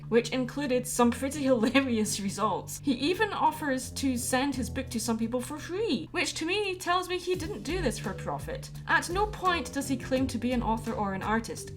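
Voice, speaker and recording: A woman giving earnest, opinionated commentary to viewers as a video commentator. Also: a little high. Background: background music.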